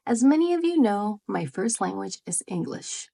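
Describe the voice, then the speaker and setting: Woman, friendly explainer, average pitch, scripted vlog talk. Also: big pitch swings, normal speed, creaky, mellow. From United States. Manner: friendly, explanatory, rhetorical questions. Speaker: vlogger.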